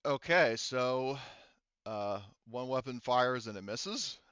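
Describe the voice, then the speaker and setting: A man's voice: mid-range voice, lively intonation, slow, fairly bright tone, clean tone, dull audio. A man acting as game master, casually narrating a tabletop game and muttering to himself as he checks rules and counts.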